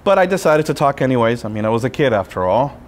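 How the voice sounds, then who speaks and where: A man, a Spanish speaker, preaching a sermon as a friar with personal storytelling, in deliberate phrases broken by pauses. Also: mid-range voice, big pitch swings. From Puerto Rico. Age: 30s.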